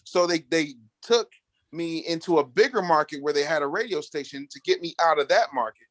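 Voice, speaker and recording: A man guesting on a podcast, talking in a loud, booming, blunt and profane way, sometimes slipping into mocking voices. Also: a little fast, no echo. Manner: slips into mocking put-on voices. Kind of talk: profane casual chat.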